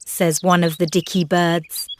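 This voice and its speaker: A woman narrating a simple children's story in deliberate, carefully spaced phrases. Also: on the low side, flat delivery. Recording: birdsong and nature noise behind.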